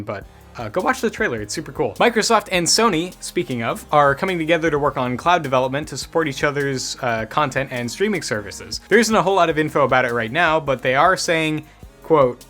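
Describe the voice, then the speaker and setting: A man's voice: on the high side, fairly bright tone. A man hosting a gaming news show in a casual, conversational way, dropping wry asides as he goes.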